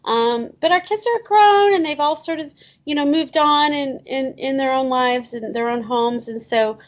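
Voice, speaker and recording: Guest spot: Indiana woman in her fifties, talkative, fillers and laughs, bit higher pitch, slightly dull audio. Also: moderate pace. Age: 50s. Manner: chatty, many um fillers and restarts, laughs often. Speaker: children's book author, guest. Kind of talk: casual interview chat.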